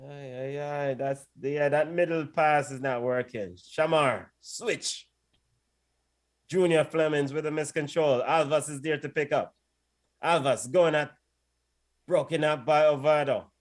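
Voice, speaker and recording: A man's voice: on the high side, fairly even intonation, a little slow. A man doing casual play-by-play on a watch-along stream, and often pleading with the players and the referee. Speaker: co-host and match commentator.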